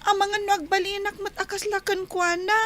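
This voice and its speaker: A woman's voice: very high-pitched, level tone, a little fast, a bit bright. A woman playing a frightened, clingy young child in a radio drama, pleading plaintively. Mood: anxious. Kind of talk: Ilocano radio drama acting.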